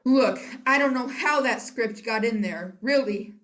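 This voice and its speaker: A woman's voice: fairly high pitch, unhurried, a bit bright. A teenage girl acting a flustered, placating Hollywood film director in a school stage comedy. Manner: theatrical, placating.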